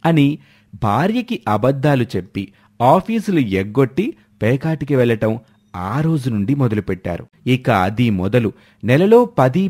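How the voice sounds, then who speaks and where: A man's voice: mid-range voice, darkish tone. A man reading a scripted story as a storyteller.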